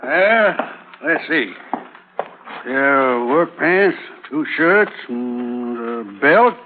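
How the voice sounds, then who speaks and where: A man playing an obliging, folksy general storekeeper in an old radio western, speaking deliberately. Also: medium pitch, expressive. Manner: deliberate, folksy, hesitant tallying. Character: obliging, unhurried male general storekeeper out west. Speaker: radio drama actor. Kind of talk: 1950s radio western acting. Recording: crackly, tinny old radio broadcast.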